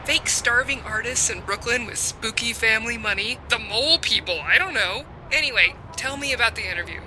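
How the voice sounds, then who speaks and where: An actress playing a playful, meddling, romcom-obsessed sister in an audio drama, chatty, sassy and casually profane. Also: female voice, on the high side, a little fast, sharp tone, slight background noise. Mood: teasing, nosy. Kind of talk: audio drama acting with narration to listener.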